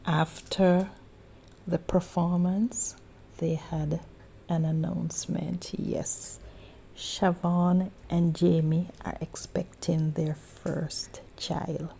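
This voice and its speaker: A woman tells the story in a slow, deliberate way. Her voice is gravelly and dark, often sliding into a soft half whisper. She sounds warm and encouraging as she narrates. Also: fairly low pitch, level tone. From Jamaica. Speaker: vlog host. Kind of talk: scripted narration.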